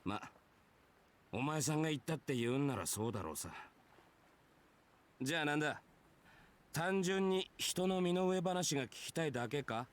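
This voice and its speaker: An actor voicing a lazy, brusque warrior man in a visual novel, with rough, listless, offhand delivery. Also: fairly high pitch, animated, fast talker, long pauses, lightly acted. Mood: lazy, relenting. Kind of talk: Japanese visual novel voice acting.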